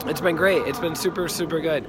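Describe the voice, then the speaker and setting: Hoarse high-voiced man on a live stream. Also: fairly quick, very noisy. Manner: enthusiastic, rambling, repeats words in lists. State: hoarse from a cold. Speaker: life coach and healer. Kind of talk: casual live stream monologue. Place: outdoors in a city. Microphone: phone.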